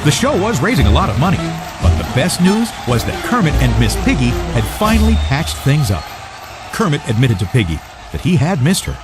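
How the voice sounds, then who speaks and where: A man narrating a scripted children's story in an expressive, animated storytelling manner. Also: on the high side, brisk, over loud music.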